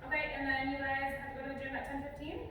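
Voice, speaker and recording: High, bright-voiced woman in echoey room. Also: monotone, racing. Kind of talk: casual spoken interruption. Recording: quiet, off-mic in echoey room.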